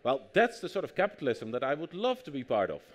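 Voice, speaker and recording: Dutch-accented male guest author, somewhat high pitch, animated storyteller who acts out quotes, public talk setting. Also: fast. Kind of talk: conversational interview on stage.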